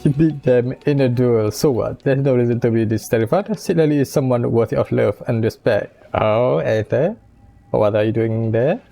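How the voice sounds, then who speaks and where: A game streamer giving casual let's-play commentary and reactions in a teasing, halting way. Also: mid-range voice, gravelly, mellow, soft background music.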